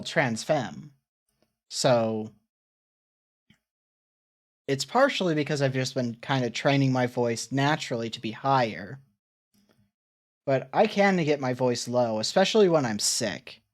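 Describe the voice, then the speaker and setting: Slow-talking relaxed streamer woman. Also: very deep voice. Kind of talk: casual livestream chat reacting to old clips.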